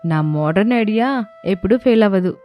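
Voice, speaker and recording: A woman's voice: mid-range voice, animated, brisk, lo-fi sound, faint music behind, close to an everyday voice. A woman voicing a plaintive young daughter-in-law in a moral family story. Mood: indignant, complaining. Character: proud young daughter-in-law, complaining then remorseful.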